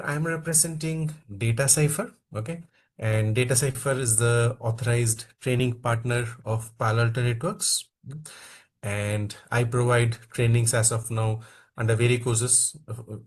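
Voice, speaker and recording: A man from India leading an online training class, who keeps saying "okay" between phrases and coaxes quiet participants to speak. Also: mid-range voice. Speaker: instructor.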